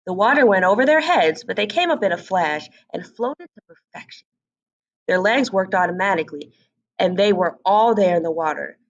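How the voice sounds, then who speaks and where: A woman's voice: medium pitch, lively intonation, moderate pace, dull audio. A woman storyteller expressively narrating a scripted children's story.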